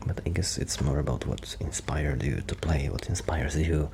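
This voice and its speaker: Male performer as quiet, bashful musician friend for ASMR roleplay: hushed, hesitant, very deep with vocal fry. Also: normal speed, noisy background. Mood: thoughtful, rambling. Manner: hesitant, rambling, self-interrupting. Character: shy, quiet young male musician friend who rambles about music. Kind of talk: soft-spoken ASMR roleplay with whispering.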